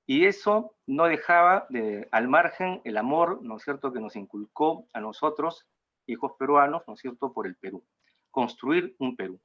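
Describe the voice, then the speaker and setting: A mature man with a Peruvian accent gives an informal talk in a halting way, often tagging phrases with a question seeking agreement. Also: mid-range voice, expressive, muffled recording. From Peru. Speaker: guest speaker at a cultural talk. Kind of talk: informal lecture in an online talk.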